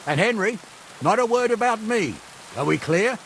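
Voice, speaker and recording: A man's voice: sits high, moderate pace, slight background noise, rough recording. An actor playing a bossy, hot-tempered medieval man in command, in a video game. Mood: brisk, wry. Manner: imperious, animated, commanding.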